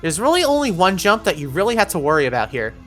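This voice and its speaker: A woman's voice: on the low side, very animated, quick pace, soft background music. A woman narrating an instructional video game walkthrough, giving encouraging step-by-step directions.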